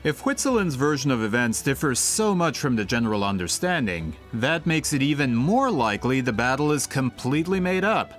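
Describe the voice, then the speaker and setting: Lively, ingratiating merchant. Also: male voice, medium pitch, brisk, few pauses, faint music behind. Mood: skeptical. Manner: courteous, eager, ingratiating. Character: polite, flattering, persistent adult male merchant. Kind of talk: video game voice acting.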